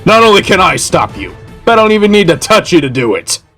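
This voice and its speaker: A man's voice: high voice, very animated, fast talker, a bit bright, faint music behind, heavily acted. A man playing a brooding, tough echidna guardian hero in a cartoon audio drama, stern and intense. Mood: defiant.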